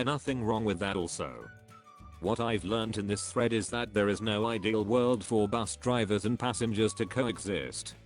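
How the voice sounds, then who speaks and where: Male synthetic narrator for reading online comments: lowish voice, evenly phrased, faint music bed. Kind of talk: scripted read-aloud narration.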